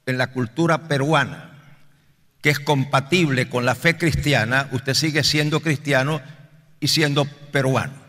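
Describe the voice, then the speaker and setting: A man's voice: medium pitch, a bit bright. A man preaching an expository Bible lesson in a didactic, emphatic way, repeating key phrases for stress. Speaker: preacher teaching a Bible study. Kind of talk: expository sermon with scripture reading. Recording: reverberant hall with long echo.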